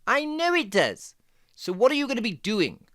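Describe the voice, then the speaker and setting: A performer voicing a jaunty, fussy fox who gives playful, step-by-step drawing lessons for children in a theatrical, deliberate, encouraging way. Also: medium pitch, huge pitch swings, a bit bright, no echo, studio quality. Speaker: presenter of children's drawing tutorial.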